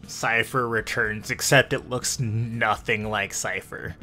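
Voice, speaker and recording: A man with a creaky voice working as game master, narrating a tabletop roleplaying game in a measured, descriptive way. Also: on the low side, fairly even intonation. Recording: faint background music. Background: soft music.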